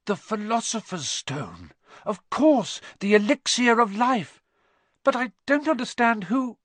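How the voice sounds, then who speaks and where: A man's voice: very high voice, very animated, measured pace, fairly bright tone, no room sound, voice pushed up, exaggerated voice. A man voicing an earnest, agitated young boy in an audiobook, with an urgent, feverish manner. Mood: excited realisation. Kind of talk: audiobook character dialogue.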